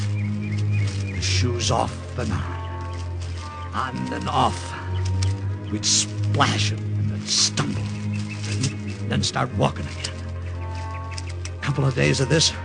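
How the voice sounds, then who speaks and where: An actor playing a jokey, excitable American lieutenant telling his war adventure in an old radio drama. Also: mid-range voice, takes it slowly, creaky, long pauses, over music. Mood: wry narrating. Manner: jokey, conversational. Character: wisecracking male American lieutenant, excitable storyteller. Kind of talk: 1940s-50s radio drama acting and narration. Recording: vintage radio recording.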